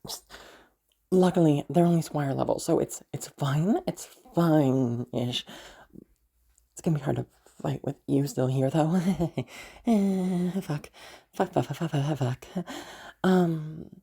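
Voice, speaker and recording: Woman playing a husky, flustered frost mage. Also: deep voice, mellow, heavily acted. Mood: flustered, anxious. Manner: hesitant, halting, anxious muttering. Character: flustered, sweary young frost mage trying to protect a visitor.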